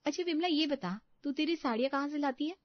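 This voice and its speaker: A woman's voice: high voice, quick pace, dry recording, dull audio, pitched above the performer's own voice, natural voice. A woman playing a bossy, haughty housewife in a story, scolding and plain-spoken. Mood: curious, doubting. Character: bossy middle-class housewife, skeptical employer of a maid.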